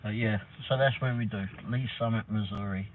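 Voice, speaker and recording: A man's voice: on the low side, steady tone, fairly quick. A middle-aged man with an English accent, hosting a rambling, casual livestream chat with mild swearing. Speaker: livestream host, delivery driver. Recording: tinny phone-like audio with faint noise.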